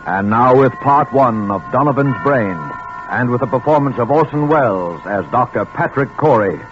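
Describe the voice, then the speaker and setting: A man's voice: mid-range voice, animated, normal speed. A man announcing a radio show in a dramatic 1940s introduction style. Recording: dull 1940s radio, music behind.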